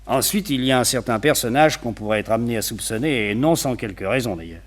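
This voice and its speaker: An actor playing a well-connected, opinionated society gentleman in a French radio detective play, talkative, confiding and wryly gossipy. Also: medium pitch, expressive, quick pace, natural voice. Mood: confiding, briefing.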